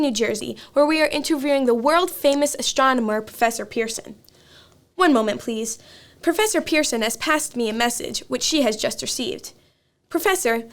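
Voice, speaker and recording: A woman playing a courteous, eager radio commentator in a radio play, announcing formally to listeners. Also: high-pitched.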